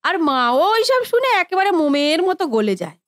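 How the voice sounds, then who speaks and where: High, swooping, spiteful sister-in-law played by a woman. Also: big pitch swings, brisk, no echo, studio quality. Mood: spiteful, disgusted. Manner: sneering, complaining. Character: spiteful, greedy grown sister-in-law, grumbling and demanding. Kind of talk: cartoon family drama acting.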